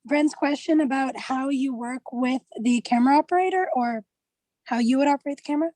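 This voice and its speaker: A woman's voice: high voice, fairly quick, warm tone, dry recording. A woman talking casually as a student in a group discussion.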